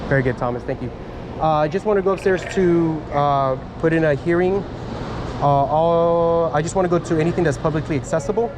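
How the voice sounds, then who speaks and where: A man's voice: on the high side, background noise. Chatty man filming himself on a phone. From Miami, USA. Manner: chatty, halting, many fillers and restarts. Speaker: vlogger filming a first amendment audit. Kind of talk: casual self-filmed vlog, talking to camera and officials. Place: outdoors then in a public building lobby. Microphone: handheld phone camera.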